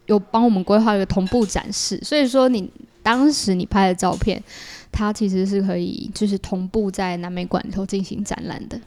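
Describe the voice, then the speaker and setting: A woman with a Taiwanese accent talks as a guest in a casual interview. Her voice sits at an average pitch. She explains her creative work conversationally, hedging and adding small asides as she goes. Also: fairly even intonation, brisk, dark tone. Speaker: podcast guest, audio drama creator. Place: small partitioned dessert cafe in an alley.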